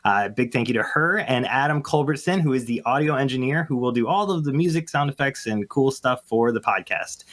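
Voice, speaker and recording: A man wrapping up a podcast cast session with informal shout-outs in an easy middle register. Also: medium pitch, animated, brisk, few pauses. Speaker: host of a cast table read. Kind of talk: casual thanks and credits.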